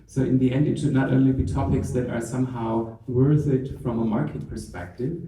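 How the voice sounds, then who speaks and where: A man answers on a discussion panel in a thoughtful, soft-spoken way, letting his sentences trail off. His voice is very dark and relaxed. He is heard from a distance in an echoey room. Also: mid-range voice, a little hiss or hum. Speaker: scientist panelist. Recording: echoey room, mic far off.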